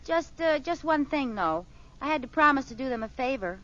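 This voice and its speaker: A flustered sitcom housewife, high-voiced and exclamatory. She schemes and frets with theatrical energy, swooping in pitch. It comes through a dull, noisy vintage radio recording. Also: animated, moderate pace, fairly bright tone. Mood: coaxing. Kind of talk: 1940s-50s radio comedy acting.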